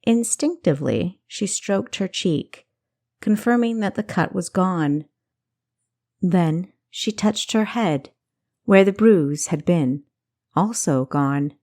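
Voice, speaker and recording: Female audiobook narrator for fantasy fiction, slightly lower register, dramatic storytelling delivery. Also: expressive, takes it slowly, mellow.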